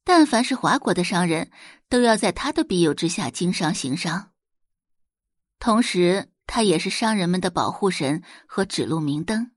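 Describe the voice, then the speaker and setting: Expressive, slightly husky female audiobook narrator. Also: lowish voice, moderate pace, no room sound, clean studio sound. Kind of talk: dramatic scripted narration of a romance novel.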